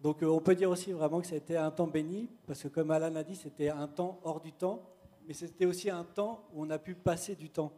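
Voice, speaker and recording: A man speaks in a fairly high voice with a mellow, warm tone. He gives a relaxed, chatty church testimony as a youth leader and leans on easy fillers. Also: a little fast. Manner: relaxed, conversational, frequent fillers.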